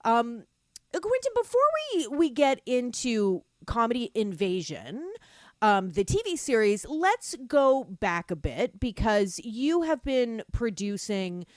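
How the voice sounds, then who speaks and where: A woman's voice: on the high side, big pitch swings, slow pace, dry recording, clean studio sound. A woman radio host asking long, rambling questions with many fillers in a friendly interview. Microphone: studio microphone.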